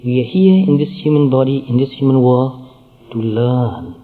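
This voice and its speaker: A man teaching Buddhist dharma at a mid pitch, unhurried and measured. He builds his points through repeated phrases and pauses. The sound is thin and phone-like. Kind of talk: dharma talk.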